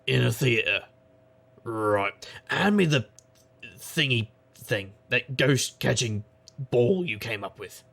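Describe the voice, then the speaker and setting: Very gravelly, wisecracking paranormal detective. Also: male voice, medium pitch, animated, takes it slowly, long pauses, fairly bright tone, full character voice. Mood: dry, uneasy. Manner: wry, rambling, irreverent. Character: wisecracking, jaded paranormal private investigator. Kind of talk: scripted noir-style first-person narration.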